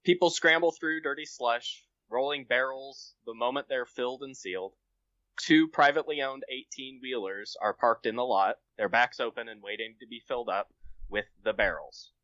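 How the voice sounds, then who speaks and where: A man's voice: mid-range voice, moderate pace, sharp tone, no echo, dull audio. A man on a podcast reading his own comic short story aloud. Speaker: podcast guest, amateur writer.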